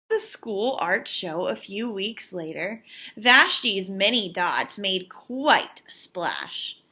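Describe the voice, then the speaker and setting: Expressive young woman reading to children, slow-paced. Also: medium pitch. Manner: expressive, emphatic. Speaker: teacher reading aloud. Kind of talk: picture-book read-aloud for children with teaching asides.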